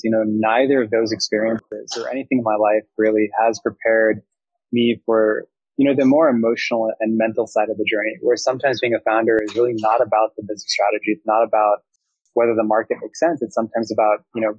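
A man's voice: lowish voice, a little mellow, slightly rough, no room sound, muffled recording. A young man from Thailand, a startup founder, giving fluent, reflective interview answers full of business terms.

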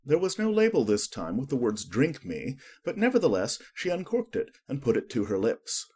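Male audiobook narrator, slightly rough husky tone, animated intonation, scripted storybook reading. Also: mid-range voice, moderate pace.

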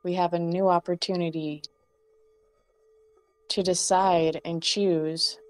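A woman leading a guided meditation, speaking in gentle instructions with pauses between short phrases. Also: on the low side, flat delivery, no echo. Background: Tibetan singing bowl music.

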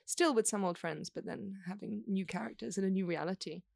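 A woman's voice: medium pitch, fairly quick, vocal fry, a little mellow, no room sound, studio quality. A young woman from Australia, speaking as a podcast guest in a reflective, thoughtful way and using frequent "like" fillers. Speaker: interview guest. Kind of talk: casual conversation.

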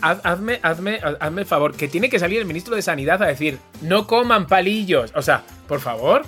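A man's voice: sits high, expressive, moderate pace, fairly bright tone. A man chats as a podcast co-host over faint music. His delivery is casual and emphatic, often doubling back on words and questions to press his point. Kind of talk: casual chat.

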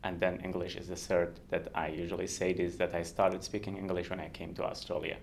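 Podcast guest: Iranian man, 30s, Kurdish first language, low voice, earnest, halting, laughs easily. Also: little pitch movement, creaky, a little hiss or hum. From Iran, Kurdistan region. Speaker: guest researcher. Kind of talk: podcast interview.

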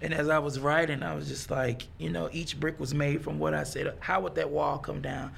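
A man in his thirties, a songwriter talking as a guest in a casual interview. He speaks reflectively and haltingly, often restarting his sentences. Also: a little high, level tone, vocal fry, a bit bright, slight background noise. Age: 30s.

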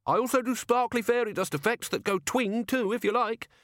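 Man voicing a high, nervous little gnome. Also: brisk, a bit bright, no room sound, studio quality. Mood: eager. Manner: hesitant, pleading, nervous. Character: small nervous gnome fairy, desperately eager to please. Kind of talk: audiobook character voice.

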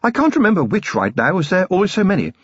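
A man's voice: sits high, sing-song, quick pace, husky, dry recording, highs cut off, pitched above the performer's own voice, exaggerated voice. A man doing a gushing posh lady. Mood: fond. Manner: refined, gushing upper-class lilt. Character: genteel noblewoman, warm and affectionate.

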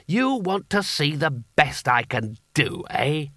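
A man's voice: on the high side, very animated, slow, pushed and bright, no room sound, studio quality, exaggerated voice. A man voicing a jovial, boastful, portly older wizard in a storybook, with a hearty, patronizing manner. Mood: indulgent. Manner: hearty, patronizing, tags questions with "eh".

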